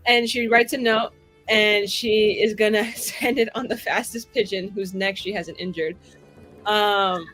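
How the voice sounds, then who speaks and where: A woman's voice: medium pitch, steady tone, a little slow, a bit bright, low-quality recording. A woman playing a tabletop game, casually and expressively narrating what her character does in informal language. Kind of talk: casual improvised roleplay narration. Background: music.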